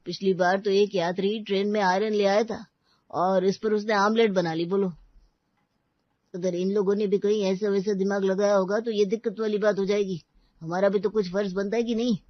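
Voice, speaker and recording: A woman voice actor speaking animated, quizzical lines in an acted storybook. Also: mid-range voice, moderate pace, dry recording, natural voice. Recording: dull dry voice-over.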